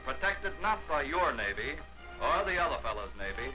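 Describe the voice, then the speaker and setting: A man narrating a 1940s wartime documentary in a measured, declamatory newsreel manner. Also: medium pitch, big pitch swings, over music, poor audio.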